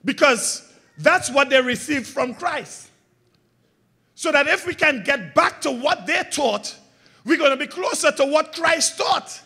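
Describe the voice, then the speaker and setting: High-voiced guy preaching a sermon, bright and forceful, throws questions back at the church. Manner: preaching with call-and-response questions to the congregation; swings between loud emphatic lines and quiet low ones. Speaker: pastor.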